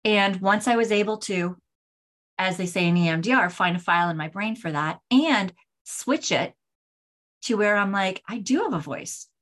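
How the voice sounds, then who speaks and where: A woman in her forties hosting a podcast, talking candidly in a rambling way, with many restarts and a pace that swings between slow and hurried. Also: medium pitch, expressive, fairly bright tone. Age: 40s. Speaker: podcast host. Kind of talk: casual solo podcast talk.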